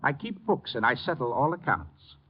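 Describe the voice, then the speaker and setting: An actor playing a vindictive, haughty theater producer in a radio drama, speaking with pompous, menacing deliberation. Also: male voice, mid-range voice, big pitch swings, moderate pace, natural voice. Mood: cold menace. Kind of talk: 1950s radio drama acting. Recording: dull, faintly noisy old radio recording.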